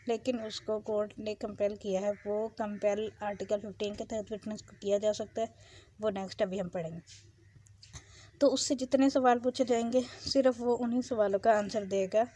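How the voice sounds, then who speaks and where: A woman's voice: mid-range voice, warm tone, slight background noise. A woman with a Pakistani accent giving an explanatory law lecture, as a teacher going through legal text.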